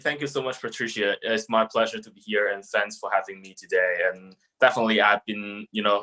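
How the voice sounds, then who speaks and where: A man's voice: fairly low pitch, sharp tone, rough recording. A man in his twenties with a Hong Kong accent, answering casually and informally as a podcast guest. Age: 20s. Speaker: podcast guest, young lawyer.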